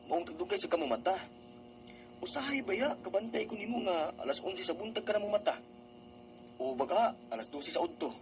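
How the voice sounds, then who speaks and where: A man's voice: high voice, lively intonation, brisk, background noise. A man playing a blunt, teasing older brother figure with a Filipino Bisaya accent in a radio drama. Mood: chiding.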